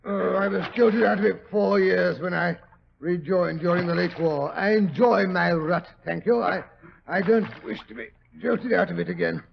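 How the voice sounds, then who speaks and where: A man's voice: high-pitched, moderate pace, gravelly, slight background noise. An actor playing a genial, stout older British married man who grumbles and loves his comfort, huffing and stammering in 1940s radio style. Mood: grumbling, flustered. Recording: dull, muffled old radio recording.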